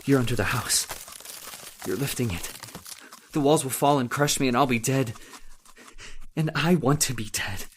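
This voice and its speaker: An actor playing a terrified, guilt-ridden man losing his sanity in an old-time radio horror monologue, halting and repetitive in melodramatic delivery. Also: mid-range voice, slight background noise, close to an everyday voice. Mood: bitter, crazed brooding.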